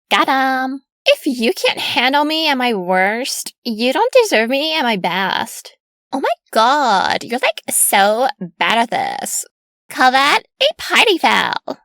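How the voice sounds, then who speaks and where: A woman's voice: sits high, a bit bright, no echo, studio quality, full character voice. A woman voicing a vain, cutesy, cruel party-girl animatronic in a video game, with bratty valley-girl delivery. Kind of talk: video game character voice lines.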